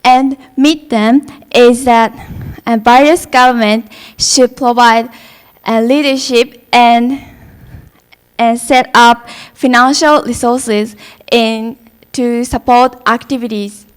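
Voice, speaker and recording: A woman's voice: a little high, steady tone, slow pace, smooth. A young woman from Japan giving a prepared student presentation, speaking hesitantly with fillers. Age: young adult.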